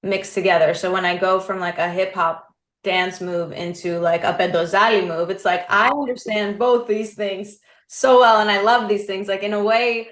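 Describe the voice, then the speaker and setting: A woman's voice: medium pitch, fairly even intonation, normal speed, a bit bright. A young woman talks easily and at length, filling her sentences with "like" and "you know" and laughing often. Her voice sits in a middle register. The sound carries a noticeable roomy echo. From United States, raised between Crete and New Jersey. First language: Greek. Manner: chatty, laughing, many fillers like "like" and "you know". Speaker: singer, interview guest. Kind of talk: casual interview chat.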